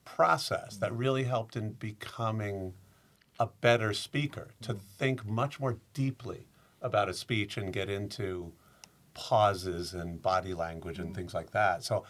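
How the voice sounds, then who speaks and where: A man's voice: fairly low pitch, measured pace, a bit bright. A man answering as a podcast guest, thoughtful and reflective with a wry sense of humor. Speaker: guest, speech contestant. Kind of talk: interview answers.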